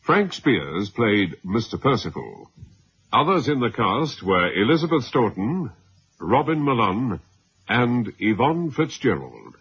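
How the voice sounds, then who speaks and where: A man's voice: medium pitch, very animated, takes it slowly, breathy edge. A man announcing a radio programme in a formal, scripted vintage style. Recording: dull, faintly noisy old radio recording.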